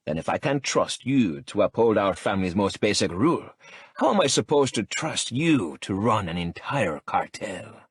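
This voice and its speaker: A man's voice: fairly low pitch, sing-song, moderate pace, slightly rough, no room sound, studio quality, voice pushed up. A man voicing a cold, menacing older crime boss with a Mexican accent who speaks in a growl, matter-of-fact.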